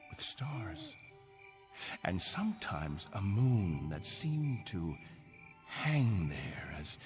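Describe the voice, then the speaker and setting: Aging male starship captain, radio drama. Wistful and deliberate, wide-ranging delivery, reverberant, music underneath. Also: deep voice, heavily acted. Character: aging spaceship captain, wistful leader of stranded colonists.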